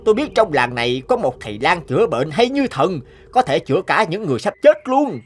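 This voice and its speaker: A man's voice: a little high, very animated, fairly quick. A man voicing a jealous, scheming rich young villager in a folk tale cartoon. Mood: sly. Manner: gloating, scheming, sneering laughs. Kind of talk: animated folk tale voice acting. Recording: music bed behind the voice.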